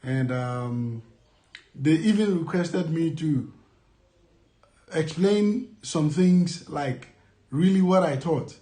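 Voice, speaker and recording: A man's voice: a little high, darkish tone. A young man from Ghana with a Ghanaian accent, chatting casually to camera as a vlogger, rambling and repeating himself with many fillers. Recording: echoey room.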